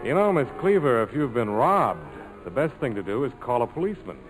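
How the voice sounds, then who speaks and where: An actor playing a cool, domineering adventurer, wry and terse, in a 1940s radio drama. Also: male voice, medium pitch, big pitch swings, a little fast, soft background music, natural voice, rough recording. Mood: cool, taunting. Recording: vintage radio broadcast.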